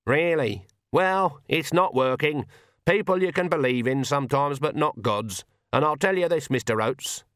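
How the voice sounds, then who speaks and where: A man's voice: on the high side, moderate pace, a bit bright, no echo, studio quality, pitched above the performer's own voice, lightly acted. A man voicing a fierce, stubborn old country witch woman, blunt and folksy with an emphatic, scolding manner, in an audiobook. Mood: needling.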